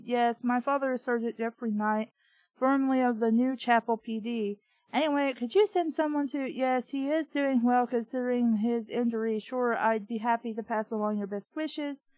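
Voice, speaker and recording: A woman's voice: on the high side, dry recording, poor audio. A woman reading fiction aloud and breaking in with sarcastic, irreverent, exasperated commentary. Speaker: book review host.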